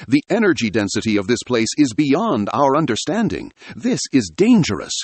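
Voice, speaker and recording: A man plays an urgent, dutiful geologist in an audio story. His delivery is agitated and swings dramatically in pitch, with a slightly rough edge to the voice, recorded dry and close. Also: huge pitch swings, moderate pace, no room sound, full character voice. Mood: alarmed, urgent. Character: male geologist, urgent, dutiful, alarmed and shouting.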